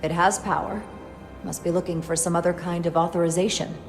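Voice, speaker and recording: An actress playing a focused, capable science officer in a sci-fi video game, speaking precisely and earnestly. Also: female voice, fairly low pitch, fairly even intonation, brisk, close to an everyday voice. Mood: analytical. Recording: loud game music underneath. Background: game soundtrack.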